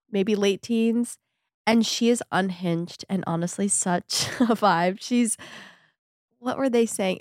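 A woman's voice: mid-range voice, dry recording, studio quality. A young woman co-hosting a podcast in casual chat, sounding nasal and stuffed up from a head cold, laughing often. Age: young adult. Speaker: podcast co-host.

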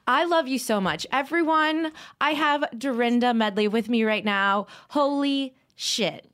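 A woman's voice: fairly high pitch, measured pace, a bit bright. A woman in her thirties hosting a podcast in casual chat, gushing, swearing freely and laughing often. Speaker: podcast host.

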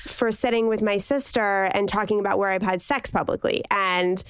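A woman's voice: medium pitch, fairly even intonation, normal speed, clean tone, no echo. A young woman on a podcast chatting casually with friends, candid and reflective, talking in long trailing runs. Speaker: podcast co-host guest. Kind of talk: casual chat between friends.

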